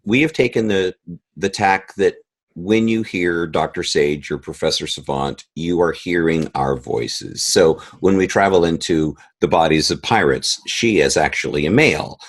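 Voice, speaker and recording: A man with a very low voice talks through his process as an interview guest. He speaks conversationally, explaining things as he goes. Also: moderate pace. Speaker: guest, audio drama creator and composer.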